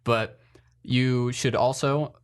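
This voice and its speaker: A man's voice: mid-range voice, fairly even intonation, slow, clear, resonant tone. A man co-hosting a podcast, giving casual advice in an informal, colloquial way. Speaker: podcast co-host.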